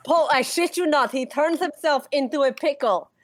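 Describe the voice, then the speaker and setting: A woman's voice: sits high, moderate pace, slight background noise. A woman in casual group banter on a livestream, crude and theatrical, swearing freely and reeling off bits like recitations. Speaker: comic dub cast member.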